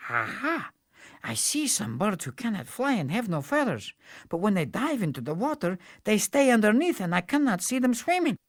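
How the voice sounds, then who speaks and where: A man voicing an excitable, stubborn sharpshooter in a storybook tale, exclamatory and emphatic. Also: high-pitched, moderate pace, no room sound, studio quality, pitched above the performer's own voice. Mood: observant.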